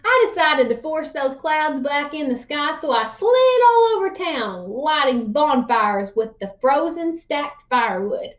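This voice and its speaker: A folksy old man played by a woman spins boastful tall tales from a children's storybook. The voice is high and given to grand exaggeration, on a slightly dull, lo-fi recording. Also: animated, unhurried, hardly any pauses. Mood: boastful, lively. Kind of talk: children's storybook read-aloud.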